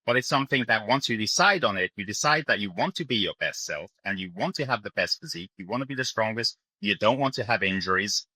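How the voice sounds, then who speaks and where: Podcast guest, male, mixed accent with Irish influence; low-pitched but very bright, forceful delivery, earnest and emphatic. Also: animated, fast, few pauses, dry recording, rough recording. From Ireland. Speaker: podcast guest, bodybuilding coach. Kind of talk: conversational interview explanation.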